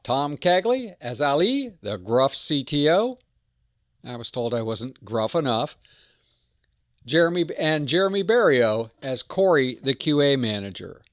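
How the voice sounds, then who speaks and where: A man's voice: on the high side, dry recording, studio quality. A man hosting a podcast, giving an introduction and credits in an emphatic, phrase-by-phrase delivery. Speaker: podcast host.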